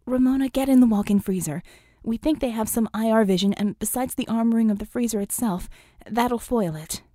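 A woman voicing a brisk, urgent female tech dispatcher for a superhero team in an audiobook, clipped and commanding. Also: fairly high pitch, dark tone, dry recording, clean studio sound, close to an everyday voice.

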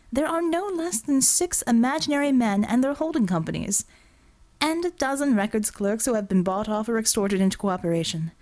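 A woman's voice: on the high side, darkish tone, lightly acted. Woman voicing a suave, mellifluous crooked lawyer. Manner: mellifluous, formal, assured.

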